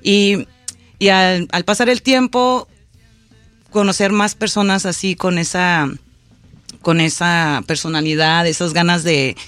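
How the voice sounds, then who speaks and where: Woman guest, halting, restarts, mixes English, soft music behind. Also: lowish voice, fairly even intonation, no room sound. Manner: hesitant, many restarts and fillers, mixes in English. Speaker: festival organizer and musician. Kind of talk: casual interview guest.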